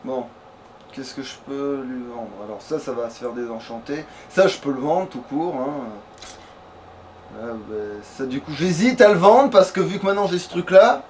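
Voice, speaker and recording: A man's voice: mid-range voice, lively intonation, normal speed, a little mellow. A man giving casual let's play commentary, thinking aloud in slangy, crude language. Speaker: let's player. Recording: faint background noise.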